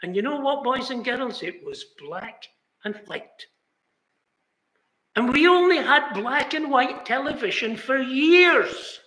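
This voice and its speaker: A man's voice: extremely high pitch, animated, takes it slowly, bright, forceful tone. An older British man preaching a sermon in a chapel, earnest, rising now and then to exclamations. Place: chapel hall, indoors. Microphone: fixed camera, seated mid shot. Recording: echoey chapel room.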